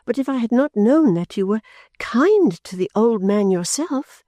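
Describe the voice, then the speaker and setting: A woman reader voicing a gentle, timid young woman who speaks softly and earnestly pleads. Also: fairly high pitch, expressive, unhurried, no room sound, voice pushed up. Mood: pleading.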